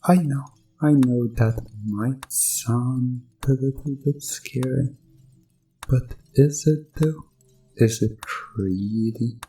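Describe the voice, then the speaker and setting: A man acts out a sinister doctor in a hypnosis ASMR audio drama, with a mellow voice over music. He speaks extremely slowly, with deliberate pauses, soothing and coaxing while condescending to his subject. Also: medium pitch.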